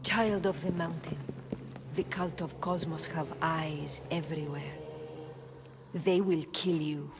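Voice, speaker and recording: A woman's voice: deep voice, fairly even intonation, slow. An actress playing a solemn female oracle with a grave, commanding manner. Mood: urgent. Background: game score music.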